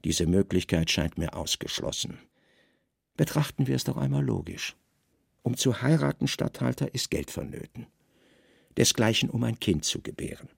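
Guy doing a grave deadpan roman spy chief, calm n calculating, radio play, gravelly, super dry sound. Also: medium pitch, normal speed, no room sound, full character voice. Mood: calm, reasoning. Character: grave, calculating Roman secret service chief, loyal man. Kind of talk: literary radio drama acting.

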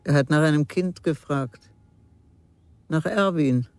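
An actor in a radio crime drama playing a wary, nosy village innkeeper, guarded and curt. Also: male voice, a little high, slow, no echo.

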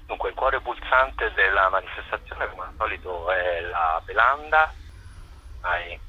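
A man with a very low voice that is bright and forceful. He is being interviewed and explains things off the cuff, haltingly, stretching words and repeating himself as he searches for the next one. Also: lively intonation, dull audio, slight background noise. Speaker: festival artistic director, interview guest.

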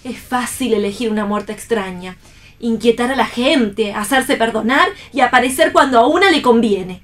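A woman's voice: on the high side, normal speed, a bit bright, close to an everyday voice. A woman acting a proud, idealistic, wounded young bride in a play reading for radio, delivered with dramatic emotion. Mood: indignant.

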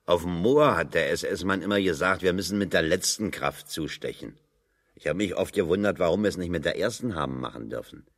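A man's voice: low voice, fairly quick. An actor playing a sardonic, dry-witted working man in German radio drama dialogue, speaking colloquially and ironically. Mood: dryly ironic.

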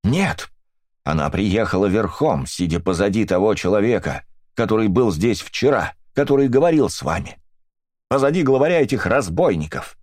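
A man's voice: lowish voice, very animated, moderate pace, a little mellow, no echo, studio quality, pitched above the performer's own voice. A man voicing a grief-stricken English widow who bitterly accuses another woman, in a storybook reading. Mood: accusing.

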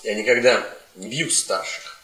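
An actor playing a restrained, self-regarding young academic husband in a radio play, with a stiff, polite, measured manner. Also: male voice, on the low side, sharp tone. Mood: guarded. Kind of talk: theatrical radio play acting. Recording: echoey room radio theatre recording.

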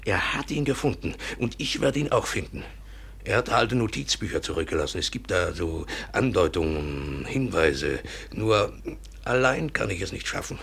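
An actor playing a bitter, obsessive European trader in a German radio play, gravelly and husky, halting and insistently repeating himself. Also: male voice, deep voice, big pitch swings, fairly bright tone, heavily acted. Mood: pleading, obsessive. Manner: brooding, halting, insistent repetitions.

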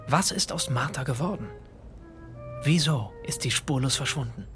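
An actor playing a fascinated grandson who narrates a radio drama in the first person, in a musing way with clipped phrases. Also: male voice, mid-range voice, moderate pace, a bit bright, natural voice. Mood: reflective, wondering. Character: reflective grandson, fascinated male narrator of a discovery. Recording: music behind the voice. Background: music.

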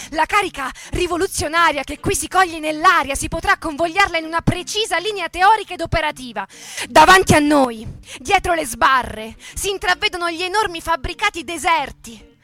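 A woman playing an impassioned leftist eyewitness in a solemn, measured, declamatory literary reading for radio theatre. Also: high voice, bright, forceful tone.

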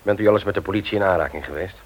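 A man's voice: sits low, rapid-fire, slight background noise, close to an everyday voice. An actor playing a stern, moralizing man who lectures emphatically in a radio play. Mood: probing, reproving. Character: stern, moralizing man confronting a petty thief. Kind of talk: Dutch radio play acting. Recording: muffled vintage radio recording.

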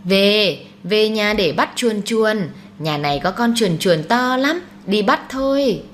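A woman's voice: mid-range voice, expressive, takes it slowly, slight background noise, pitched above the performer's own voice. A woman voicing a naive, childlike young wife who pleads insistently in an audiobook story. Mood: childlike glee.

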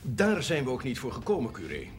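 Eager, insistent parish priest. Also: male voice, mid-range voice, wildly swooping pitch, a little fast, background noise. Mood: polite, hopeful. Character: eager, plain-spoken male parish priest seeking help. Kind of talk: television period drama acting.

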